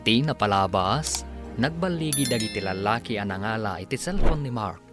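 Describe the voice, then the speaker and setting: Guy announcing a radio drama, big lively intonation, music behind him. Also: lowish voice, normal speed. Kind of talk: scripted dramatic announcing and recap.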